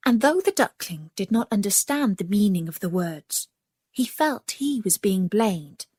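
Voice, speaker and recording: A woman narrating a scripted children's story in a measured, expressive manner. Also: medium pitch, slightly rough, no echo, clean studio sound.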